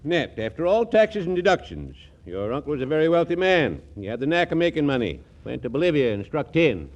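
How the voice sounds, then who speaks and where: An actor playing an officious older estate lawyer, formal and hemming, in 1940s radio comedy style. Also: male voice, on the high side, big pitch swings, a little fast, slight background noise. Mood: matter-of-fact. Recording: muffled old 1940s radio broadcast.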